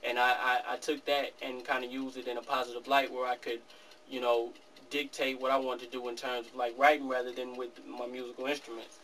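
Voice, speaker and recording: A man's voice: medium pitch, flat delivery, pushed and bright. An eighteen-year-old man, a young rapper, answering interview questions earnestly in a small studio office. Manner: fast, earnest, laughs easily. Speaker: rap artist guest. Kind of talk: casual interview. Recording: distant camera mic with faint room noise.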